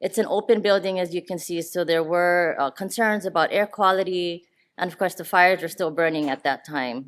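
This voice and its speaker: A woman giving a conference talk on public health work, earnest and walking through her slides. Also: on the low side. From Hawaii. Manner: earnest, talks through slides, some restarts. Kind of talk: conference presentation. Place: conference room. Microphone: handheld or podium mic.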